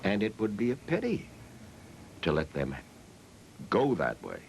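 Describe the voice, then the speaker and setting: An older man with an American accent, reminiscing in an interview in an emphatic, measured storytelling way. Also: fairly low pitch, wildly swooping pitch, gravelly, background noise. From Massachusetts, United States. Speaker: interviewee recalling the past. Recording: music playing behind the voice. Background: music.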